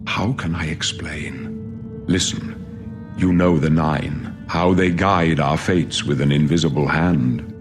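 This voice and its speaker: An aged, weary emperor from a fantasy game. The voice sits very low and moves slowly, with solemn, prophetic gravity. Orchestral game music plays clearly behind it. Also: male voice, fairly even intonation, full character voice. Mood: earnest. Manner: grave, measured, prophetic. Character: weary, solemn old male emperor facing his death. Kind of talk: fantasy video game acting.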